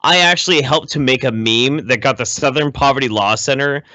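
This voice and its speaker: A man's voice: mid-range voice, a little fast, pushed and bright, no room sound. A man co-hosting a casual live podcast in a mock-serious, profane and emphatic way. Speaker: podcast co-host.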